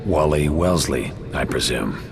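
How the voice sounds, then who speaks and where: A gruff video game action hero, with a very deep voice that swings widely in pitch. He is cocky and terse, tossing off tough wisecracks in a gravelly tone. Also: lively intonation, moderate pace, background noise, exaggerated voice. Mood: cold resolve. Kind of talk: video game cutscene acting.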